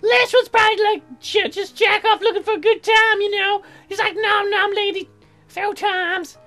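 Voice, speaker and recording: Man putting on a shrill, goofy colonist woman. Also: steady tone, normal speed, sharp tone, soft background music, exaggerated voice. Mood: silly, rambling. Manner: goofy, crude, dim-witted rambling. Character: crude, silly, excitable childlike colonist woman Sarah. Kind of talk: comic visual novel character voice.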